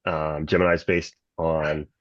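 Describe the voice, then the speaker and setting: A man with a deep voice gives casual, off-the-cuff answers as a group participant. The audio is dull and lo-fi, with very little room sound. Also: little pitch movement, a little slow, no room sound.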